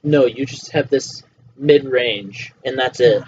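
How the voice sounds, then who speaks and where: A young man hosting a casual podcast with a friend, joking around and breaking into loud shouts and laughter. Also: mid-range voice, slow pace. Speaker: podcast host. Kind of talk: casual chat. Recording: dull lo-fi home recording with faint hiss.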